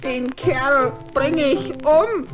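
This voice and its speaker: A man's voice: extremely high pitch, drawn-out words, over loud music, full character voice. A man playing an indignant, dramatic duckling boy who declaims in a children's radio play. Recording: old vinyl record.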